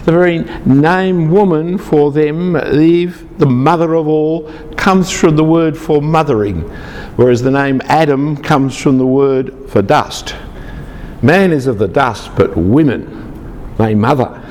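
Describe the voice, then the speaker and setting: A man's voice: fairly high pitch, takes it slowly, darkish tone, long reverb tail, slight background noise. A man from Australia, giving a lecture to a large group, chatty and teasing the audience.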